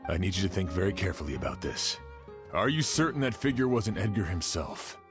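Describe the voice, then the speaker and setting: A man's voice: deep voice, animated, brisk, music in the background. An actor playing a stern, probing male investigator in an anime-style game.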